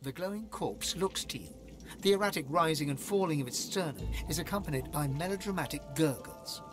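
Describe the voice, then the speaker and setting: A man's voice: a little high, expressive, fairly quick, music in the background, lightly acted. A man playing a wry storybook narrator, reading scripted narration in a fantasy video game. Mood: measured, wry.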